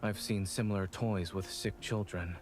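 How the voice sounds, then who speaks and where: An actor playing a composed, cold, straightforward doctor in a video game, speaking in a measured, businesslike way. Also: male voice, lowish voice, steady tone, lightly acted. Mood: concerned. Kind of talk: scripted video game dialogue. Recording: game music behind the voice.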